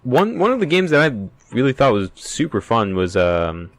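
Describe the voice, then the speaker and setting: A man's voice: medium pitch, sing-song, moderate pace, no room sound. A man co-hosting a podcast, chatting casually about games in laid-back slang. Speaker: podcast co-host.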